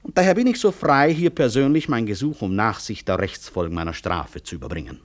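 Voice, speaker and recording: An actor playing a middle-aged medical professor in a radio drama, dryly courteous and sardonic. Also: male voice, lowish voice, animated, natural voice. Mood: ironic. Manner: dry, sardonic, measured courtesy. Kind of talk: 1960s German radio drama acting.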